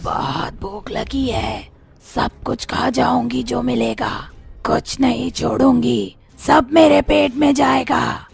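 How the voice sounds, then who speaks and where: A woman voicing a ravenous, gloating man-eating witch in an animated horror story, with a gravelly, menacing delivery. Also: fairly high pitch, slow, music in the background, full character voice. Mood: hungry, menacing. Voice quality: gravelly creaky voice.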